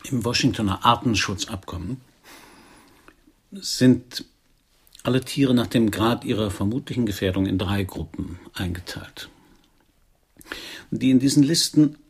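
An actor playing a stiff, guarded upper-class research director and husband in a radio play, formal and officious in manner. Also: male voice, on the low side, husky. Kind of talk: radio play acting in dialogue.

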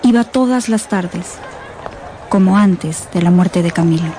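A woman's voice: lowish voice, a little slow, dark tone, some chatter behind, slight background noise, close to an everyday voice. A woman narrating a tragic radio drama as a solemn storyteller, in scripted dramatic style.

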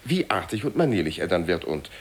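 An actor playing a mysterious, courteous foreign gentleman with a polite, hesitant, insinuating manner in a German radio play. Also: deep voice, big pitch swings, brisk, husky, exaggerated voice. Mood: sly, courteous.

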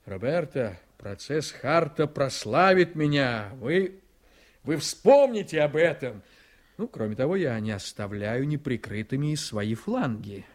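A man's voice: mid-range voice, expressive, measured pace, natural voice. An actor playing an ambitious, jovial, scheming district attorney in a radio drama, theatrical and exclamatory, repeating his phrases. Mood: confident, animated. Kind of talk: Soviet-style radio drama acting.

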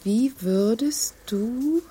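An actress playing a cool, bored fiancée reading out a reproachful letter with pointed deliberation in an audio drama. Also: female voice, on the high side, drawn-out words, mellow, slightly rough, exaggerated voice.

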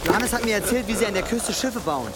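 A man's voice: sits high, lively intonation, quick pace. An actor playing an earnest, practical young man of a pagan band in a German audio drama.

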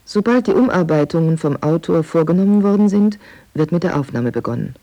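A man's voice: a little high, animated, brisk, mellow, rough recording. A man reading out a newspaper report as a scripted quotation. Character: newspaper article voice reporting on radio production.

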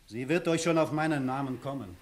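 An actor playing a stubborn, sulky young peasant suitor in a German radio play, with blunt, taunting delivery. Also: male voice, mid-range voice, a little fast, lightly acted. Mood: grudging. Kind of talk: 1960s German-language radio acting.

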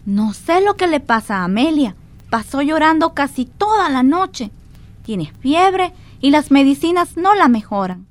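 A woman's voice: high-pitched, very animated, unhurried, slight background noise. A woman playing a caring, anxious household woman with an earnest manner in an amateur radio drama. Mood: worried.

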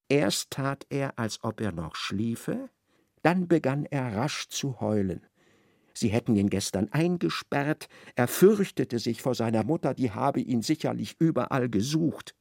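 A man narrating a radio play in a measured, ironic storytelling manner. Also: mid-range voice, gravelly, darkish tone, no echo, studio quality.

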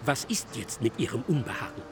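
An actor in a radio play playing an impatient, self-important debating partner who is sarcastic and needling. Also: male voice, medium pitch, very animated, fairly quick, background noise, close to an everyday voice. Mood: impatient sarcasm. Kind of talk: German radio play acting.

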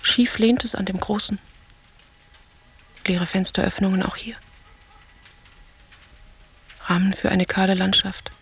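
A woman's voice: mid-range voice, steady tone, measured pace, vocal fry, long pauses, breathy edge, exaggerated voice. An actress playing a middle-aged, weary travelling stage woman, speaking an introspective inner monologue in sparse fragments for a radio play. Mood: pensive, desolate. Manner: sparse, fragmentary, reflective phrases.

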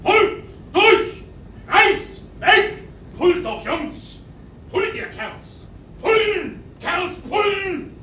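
An actor playing a rough whaleboat officer who goads his rowers with barked commands in a radio drama, heard at a distance. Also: big pitch swings, very slow, full character voice. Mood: urgent, goading. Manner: barking, goading, shouted commands. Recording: roomy, distant, faint rumbling noise.

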